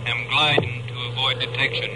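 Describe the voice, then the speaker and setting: An actor in a 1950s radio drama playing a clipped, businesslike police pilot reporting over a two-way radio. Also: male voice, medium pitch, flat delivery, brisk, loud music behind the voice, low-quality recording. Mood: terse, calm. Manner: terse, procedural. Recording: old radio broadcast, loud drone behind.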